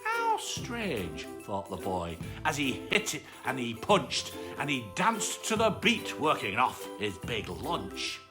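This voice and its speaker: A woman reading a children's bedtime story aloud with dramatic, drawn-out words. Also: extremely low pitch, sing-song, over music.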